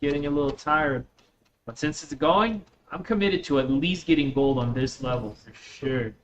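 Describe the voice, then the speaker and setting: High-voiced man with a slight Russian accent. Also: moderate pace. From Russia, moved to the United States at eleven. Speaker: game streamer. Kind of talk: casual live-stream chat while gaming. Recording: dull lo-fi mic with faint noise.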